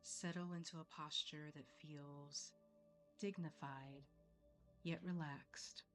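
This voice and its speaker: Female meditation guide, low register, very unhurried and soothing, soft music underneath. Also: steady tone. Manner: soothing, measured, with long pauses. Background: soft background music.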